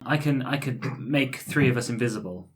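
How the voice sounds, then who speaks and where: A man's voice: mid-range voice, normal speed. A man playing a tabletop roleplaying game, improvising ideas in casual, conversational talk, with faint noise on the recording.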